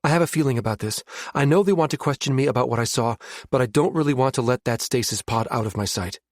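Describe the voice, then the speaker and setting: A man voicing a determined young woman investigator in an audiobook, speaking quickly and urgently. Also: medium pitch, no echo, clean studio sound, natural voice. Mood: uneasy.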